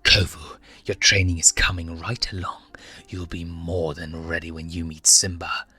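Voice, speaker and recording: A man's voice: deep voice, little pitch movement, moderate pace, bright, forceful tone, slightly rough, soft background music, exaggerated voice. A man voicing a ruthless, scheming lioness mother who speaks in commanding, emphatic speeches in a story reading. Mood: fierce, proud.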